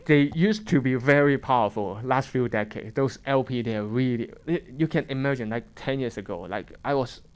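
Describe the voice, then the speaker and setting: A man with a Hong Kong accent lectures a room of students in fairly smooth, resonant tones. His English is plain and conversational, broken by restarts and short chuckles as he works through his points. He sounds like someone in his thirties speaking off the cuff. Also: mid-range voice, moderate pace, hardly any pauses. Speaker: fund manager giving a seminar talk.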